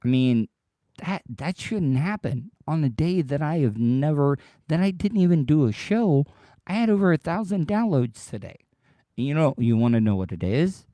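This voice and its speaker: A man hosting his own podcast livestream, rambling and repeating himself as he talks straight to his listeners, his voice worn deeper than usual by tiredness. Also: big pitch swings, moderate pace, warm tone, dry recording. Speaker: podcast host. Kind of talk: casual solo livestream monologue.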